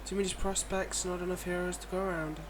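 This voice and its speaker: Higher-voiced cocky, flirty villain acted by a man. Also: flat delivery, quick pace, noisy background, close to an everyday voice. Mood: smug, teasing. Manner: smug, teasing, coaxing and mock-defensive. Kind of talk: casual audio roleplay addressed to the listener.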